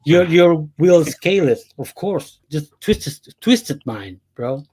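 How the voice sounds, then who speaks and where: A man from Chile, a panel guest talking in a halting, defensive way and repeating himself in casual banter. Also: fairly high pitch, lively intonation, darkish tone, breathy edge.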